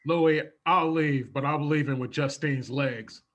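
A man voicing a tough mob debt collector, husky and bluntly menacing, in improvised comedy. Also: a little high, measured pace, a bit bright.

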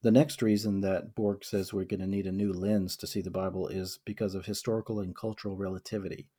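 A man's voice: low-pitched, level tone, brisk, mellow, breathy edge. A young man from the American South co-hosting a podcast, reading book quotes aloud and reflecting on them with many fillers and restarts. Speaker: podcast co-host. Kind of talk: casual discussion of a book.

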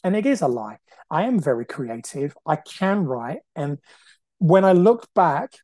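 A man's voice: a little high, big pitch swings, unhurried, darkish tone, no echo. A man in his forties from Brazil, whose first language is Portuguese, hosting a podcast in an earnest, casual monologue full of "you know" and repeated phrases. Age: 40s. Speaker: podcast host. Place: at home on the sofa.

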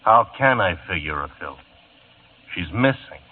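An actor playing a drawling, needling police lieutenant in a vintage radio drama. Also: male voice, deep voice, lively intonation, measured pace, a little hiss or hum. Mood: sly. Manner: drawling, insinuating. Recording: tinny old radio broadcast recording.

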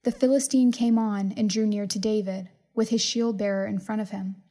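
Even-toned woman reading scripture. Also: medium pitch, normal speed. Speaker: scripture reader. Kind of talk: scripted Bible reading, narration.

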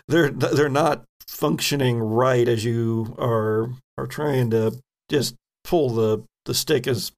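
A man improvising narration as a tabletop game master, halting as he searches for words. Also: mid-range voice.

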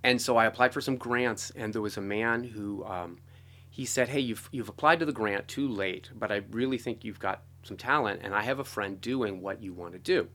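A middle-aged American man with a fairly low voice, chatting as an interview guest. He tells anecdotes in a casual, conversational way and acts out bits of what was said. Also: normal speed, pushed and bright. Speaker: interview guest, film and audio drama composer.

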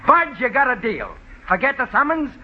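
A man's voice: very high voice, very animated, normal speed. An actor playing a breezy, slangy man who serves a summons, in 1940s radio comedy style. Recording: dull old radio broadcast with faint hiss.

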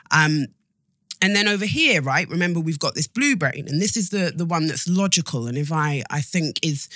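A woman's voice: sits low, a bit bright, no room sound. A woman co-hosting a podcast, explaining things informally and emphatically, repeating phrases and checking that listeners follow. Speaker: podcast co-host. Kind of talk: casual explanatory chat.

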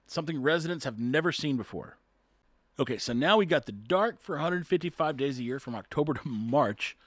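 Animated, chuckling man in casual talk. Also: on the high side, dry recording, clean studio sound. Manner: chuckles often, rambling, stumbles over words. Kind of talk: casual solo talk, reading an article aloud.

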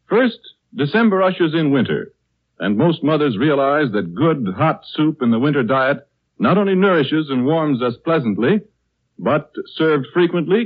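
A man's voice: fairly high pitch, slightly rough. An American man announcing on 1930s radio, reading a script in a formal, polished and emphatic way. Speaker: radio announcer and sponsor's pitchman. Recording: slightly muffled old 1930s radio recording.

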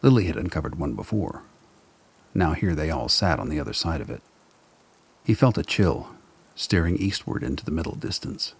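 A man's voice: extremely low pitch, sing-song, normal speed, husky. A man narrating a scripted radio drama as a storyteller.

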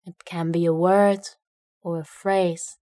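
A woman narrating a guided meditation in a gentle, unhurried way, leaving long pauses between phrases. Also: on the low side, little pitch movement, no room sound, studio quality.

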